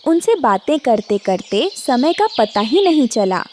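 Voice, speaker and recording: A kindly little female bird speaks in a high, animated voice as a woman narrator plays her. She sounds sweet and respectful in a cartoon tale, with faint birdsong noise. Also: a little slow. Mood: apologetic. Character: kind, polite, caring female bird, dutiful wife. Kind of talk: Hindi animated moral story dialogue.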